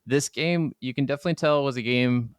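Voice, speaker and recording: A man's voice: mid-range voice, a little fast, smooth, dry recording, studio quality. A man co-hosting a gaming podcast, talking in a casual, rambling way with plenty of filler "like". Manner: rambling, peppered with "like". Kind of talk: casual chat about video games.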